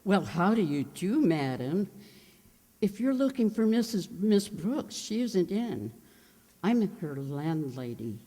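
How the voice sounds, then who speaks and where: A woman playing a scatterbrained, kindly, nosy older landlady in a comedic old-time radio show, dithery and unhurried. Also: on the low side, sing-song, warm tone, exaggerated voice. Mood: politely formal. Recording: hall-like, long reverb.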